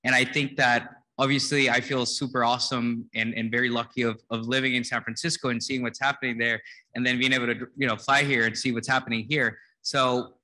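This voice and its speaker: A man in his thirties from Costa Rica, a designer on a conference panel, speaking relaxed and frank into a handheld mic. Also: medium pitch, fairly quick, low-quality recording. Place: conference stage. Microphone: handheld microphone.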